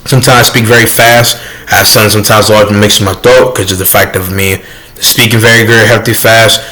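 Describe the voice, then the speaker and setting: A soft-toned young man rambling defensively in a casual vlog rant, full of fillers. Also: lowish voice, fairly even intonation, a little fast, low-quality recording. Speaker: YouTuber.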